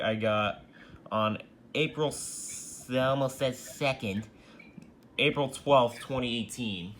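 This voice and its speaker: A man hosting a casual home video about his radio collection, talking in a loose, rambling way with plenty of swearing and sudden loud outbursts at himself. His voice sits at an ordinary pitch. The room is echoey, with a steady noisy background. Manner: rambling, self-deprecating, swears freely, bursts into shouting. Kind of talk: casual show-and-tell vlog.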